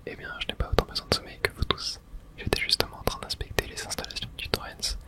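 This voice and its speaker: Someone whispering in a hushed, unvoiced way through an ASMR video game playthrough, reading dialogue aloud. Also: quick pace.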